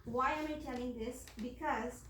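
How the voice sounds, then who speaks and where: A woman from Bangladesh speaking earnestly on a panel, pausing often with fillers. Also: high-pitched, fairly bright tone. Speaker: panelist, songwriter and singer. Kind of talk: panel discussion remarks. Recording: echoey room, distant mic, faint noise.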